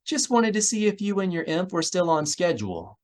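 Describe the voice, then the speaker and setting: Man doing a high, self-assured taunting woman. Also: very animated, moderate pace, darkish tone. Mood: cheerful taunting. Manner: cheerful, commanding.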